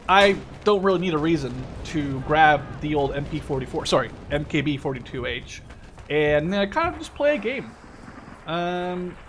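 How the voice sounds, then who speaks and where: Man in his twenties, slightly high voice, casual gameplay commentary, rambling, self-correcting, game noise behind. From Netherlands. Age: 20s. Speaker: gaming video host.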